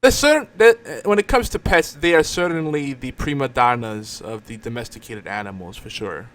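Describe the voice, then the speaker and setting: A man's voice: medium pitch, lively intonation, moderate pace. A man hosting a casual podcast, bantering in a rambling way with repeated words and restarts. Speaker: podcast host. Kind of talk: casual banter on a live online show.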